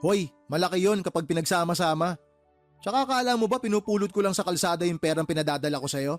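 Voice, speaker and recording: An actor plays an indignant younger brother, fed up with freeloading family. His slightly high male voice rattles out accusations quickly, in radio-drama style over faint music. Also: no room sound, natural voice. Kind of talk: radio drama dialogue in colloquial Tagalog.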